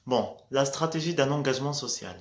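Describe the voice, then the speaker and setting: Un jeune homme à l'marocain, dont l'arabe est la langue maternelle, qui parle en vlog motivant et décontracté, hésite souvent et répète « voilà ». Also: male voice, medium pitch, a little fast. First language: Arabic. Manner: hesitant, restarts often, says "voilà" a lot, laughs between points. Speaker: vlogger.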